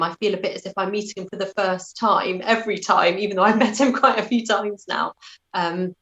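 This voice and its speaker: British woman with a slightly low voice. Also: fairly bright tone, highs cut off. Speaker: interview guest, actor. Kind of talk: conversational interview.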